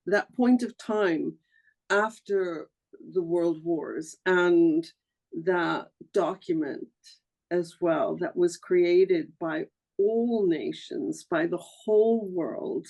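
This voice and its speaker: A woman from Argentina on a video call, speaking earnestly and haltingly with many pauses and fillers. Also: lowish voice, gravelly, mellow. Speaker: audience member on a video call. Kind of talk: casual chat.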